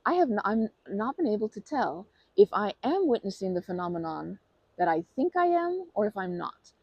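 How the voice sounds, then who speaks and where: A woman's voice: mid-range voice, very animated, normal speed, slightly rough, no room sound, highs cut off. A woman in an ASMR roleplay who talks to the listener in a rambling, bashful and playful way, full of fillers and restarts. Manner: playful and earnest, laughing softly.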